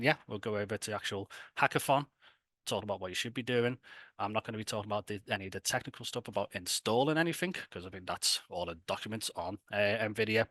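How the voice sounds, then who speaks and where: Bright-voiced Scouse man presenting casually. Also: low-pitched, no echo, clean studio sound. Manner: chatty, enthusiastic, colloquial. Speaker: event host and presenter. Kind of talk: casual, informal event presentation.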